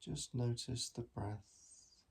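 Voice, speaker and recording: A man guiding a meditation in a gentle, measured manner with long pauses between phrases. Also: mid-range voice, slightly rough. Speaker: mindfulness meditation teacher. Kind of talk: guided body scan meditation.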